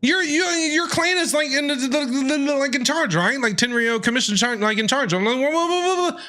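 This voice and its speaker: A man giving casual live reaction commentary on a video game in an excitable, jokey way, often repeating himself. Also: extremely high pitch, brisk. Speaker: game streamer reacting to a story quest. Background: game music.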